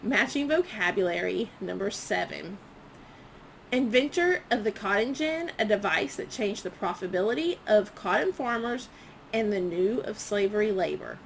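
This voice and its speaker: A woman's voice: mid-range voice, expressive, normal speed, gravelly, a little hiss or hum, poor audio. A woman history teacher reading quiz questions aloud to students, enunciating each prompt item by item in an instructional way.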